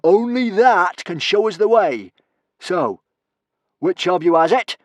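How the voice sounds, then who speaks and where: A man voicing a maniacal, gloating Viking villain for a storybook, bellowing in drawn-out, grandiose phrases. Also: sits high, lively intonation, no echo, muffled recording, voice pushed up. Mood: gloating menace.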